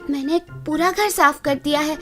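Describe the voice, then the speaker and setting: A woman voicing a meek, polite young daughter-in-law in a cartoon story, speaking deferentially and gently. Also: high voice, moderate pace, faint music behind, voice pushed up, close to an everyday voice. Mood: meek, earnest.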